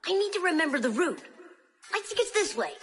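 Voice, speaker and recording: A woman's voice: extremely high pitch, sing-song, fairly quick, fairly bright tone, slight background noise, exaggerated voice. A woman voicing an eager, hapless young boy sprite in a children's cartoon. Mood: unsure. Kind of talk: animated children's cartoon acting.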